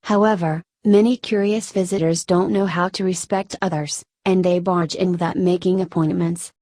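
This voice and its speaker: A woman's voice: lowish voice, steady tone, normal speed, mellow, no room sound. A woman reading a scripted first-person narration of a Buddhist story.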